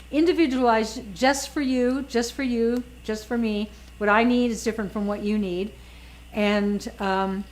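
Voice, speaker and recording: A woman's voice: on the high side, rough recording. A woman in her sixties, an author, talking casually and emphatically, often repeating words as she makes her points. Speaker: author and guest. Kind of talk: casual chat.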